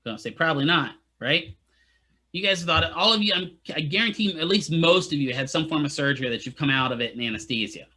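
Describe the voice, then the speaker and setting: A man's voice: fairly high pitch, fast talker, pushed and bright, slightly rough. A man teaching physical therapy students in a casual lecture, often checking in with "right?" and making joking asides. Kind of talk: classroom lecture.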